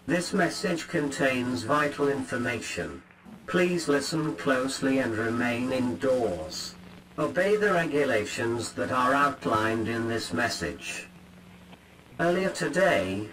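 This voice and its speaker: Man reading an emergency alert, middle pitch, calm and clipped. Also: a little hiss or hum, rough recording. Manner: calm, clipped, official delivery.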